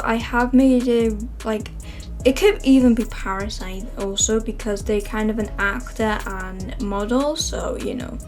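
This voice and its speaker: Young woman, fairly high voice, loose rambling vlog host chatter with fillers. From Wales. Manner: rambling, many fillers and self-corrections. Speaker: channel host. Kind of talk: casual chatty vlog narration.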